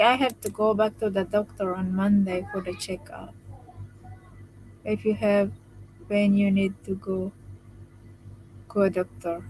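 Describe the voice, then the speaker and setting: Woman, slow pace, soft low-volume voice, tired after jaw surgery, live stream chat. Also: slight background noise, poor audio. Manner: speaks softly, restarting phrases, laughing often. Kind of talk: casual live stream chat reading comments.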